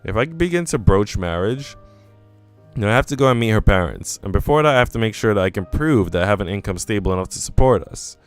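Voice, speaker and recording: A man's voice: on the low side, lively intonation, quick pace, clear, resonant tone, faint music behind. A man reading visual novel narration aloud in a live, casual way, now and then restarting a line.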